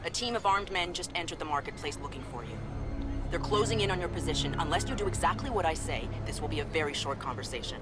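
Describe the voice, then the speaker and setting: A woman playing a brisk, commanding American intelligence agent, clipped and dry as she directs an operative. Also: low voice, animated, loud music behind the voice. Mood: brisk, controlled.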